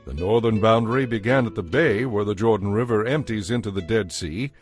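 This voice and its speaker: A man reading scripted scripture narration as narrator, in a measured, formal manner. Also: lowish voice. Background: background music.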